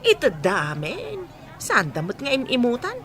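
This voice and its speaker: A woman's voice: fairly high pitch, wildly swooping pitch, moderate pace, fairly bright tone. A woman playing a fussy, gossipy household maid with an Ilocano accent and a nagging, inquisitive manner in a radio comedy drama.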